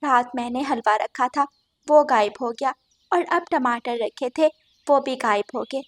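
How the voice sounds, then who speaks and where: A woman voicing a gentle, homely mother bird in a cartoon, soft-spoken and kindly. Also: high-pitched, a little slow. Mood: puzzled.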